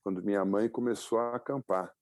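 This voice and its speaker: Deep gravelly-voiced man in his fifties. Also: steady tone, a little fast, a little mellow, no echo, clean studio sound. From Brazil. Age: 50s. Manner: reflective, many fillers and restarts. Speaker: guest, publisher and adventurer. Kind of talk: casual interview storytelling.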